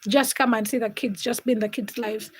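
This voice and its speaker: A woman's voice: fairly high pitch, fairly even intonation, brisk, breathy edge. A young woman from Uganda and Kenya hosting a casual livestream, reading out viewers' comments and teasing them by name. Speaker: livestream host.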